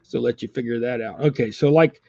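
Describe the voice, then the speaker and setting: A man's voice: medium pitch, brisk, creaky, no echo. Congested man chatting while tying jigs. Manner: relaxed, chatty, greets viewers by name. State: congested, coughing. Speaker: fishing channel host tying jigs. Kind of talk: casual live-stream chat while tying. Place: home room with a TV nearby. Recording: dull, slightly lo-fi dry sound.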